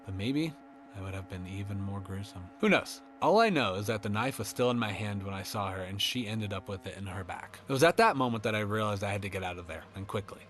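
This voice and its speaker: A man's voice: fairly low pitch, animated, fast talker, fairly bright tone. A man voicing an unrepentant killer hiding in an attic, sinister and darkly glib, in a first-person horror monologue. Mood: cold menace.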